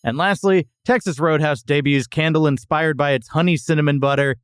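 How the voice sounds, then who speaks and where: A man's voice: fairly high pitch, brisk, clear, resonant tone, dry recording, studio quality. A man hosting a podcast in a casual, bantering conversational style. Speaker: podcast host. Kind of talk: casual bantering review chat.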